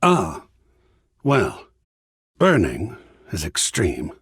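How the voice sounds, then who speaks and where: An actor playing a refined, earnest art curator in a video game, with a genteel, measured and theatrically expressive delivery. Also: male voice, low-pitched, breathy edge, no room sound, clean studio sound, full character voice. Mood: polite, measured.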